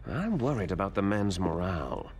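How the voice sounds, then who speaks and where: An actor playing an arrogant, cunning terrorist boss in a video game cutscene, commanding, theatrical and contemptuous in manner. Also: male voice, low-pitched, big pitch swings, normal speed, darkish tone. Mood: scornful.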